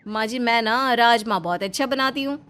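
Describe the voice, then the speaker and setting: A woman's voice: on the high side, normal speed, fairly bright tone, clear, resonant tone, soft background music, natural voice. A woman voicing a jealous, aggrieved elder daughter-in-law in a cartoon story, whiny and insistent. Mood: eager.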